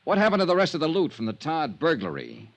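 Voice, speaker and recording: An American actor playing a hard-boiled, sardonic insurance investigator in 1950s radio drama, with clipped lines and dramatic pauses. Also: male voice, on the high side, wildly swooping pitch, vocal fry, exaggerated voice. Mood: accusing, sardonic. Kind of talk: 1950s radio detective drama acting and narration. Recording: vintage radio recording with music.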